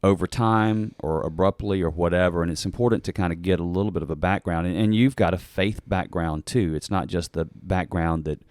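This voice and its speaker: A man's voice: low-pitched, fairly quick, few pauses, a little mellow, dry recording, studio quality. A man hosting a podcast, casually guiding his guest with prompting questions. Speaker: podcast host. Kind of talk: interview questions, conversational.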